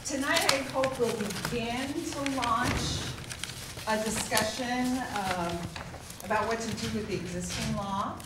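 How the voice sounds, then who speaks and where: A woman's voice: fairly high pitch, unhurried. Echoey, distant woman presenting at a meeting. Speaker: city councilor giving a presentation. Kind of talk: informal public meeting presentation. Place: indoor council meeting room. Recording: echoey, noisy meeting room, distant mic.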